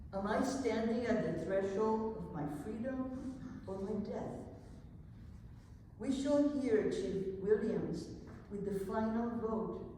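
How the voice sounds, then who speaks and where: A woman reading the part of a resolute young enslaved woman yearning for freedom, in a solemn, measured dramatic poetry reading. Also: mid-range voice, dark tone. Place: echoing indoor hall before a live event. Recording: echoey hall, distant mic, faint noise.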